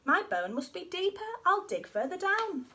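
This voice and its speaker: Lady doing a hungry determined storybook doggy, high and bouncy, eager sing-song. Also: big pitch swings, normal speed, bright, forceful tone, full character voice. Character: determined, hungry, polite male cartoonish storybook dog.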